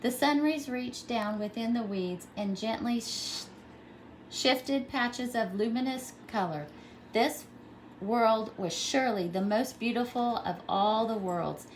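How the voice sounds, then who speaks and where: A woman's voice: on the high side, slow, a little hiss or hum, rough recording. A woman pre-K teacher reading a storybook aloud to young children, expressively and with simple explanations.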